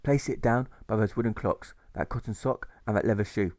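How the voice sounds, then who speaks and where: A man's voice: lowish voice, fairly quick, darkish tone, no echo, studio quality. A man narrating a rhyming audiobook as its author, with a rhythmic delivery that stresses the rhymes. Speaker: author reading his own novel.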